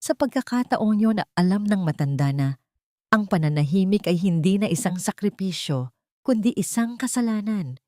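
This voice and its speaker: A woman's voice: fairly low pitch, warm tone, dry recording, clean studio sound. A woman storyteller reading a scripted dramatic narration.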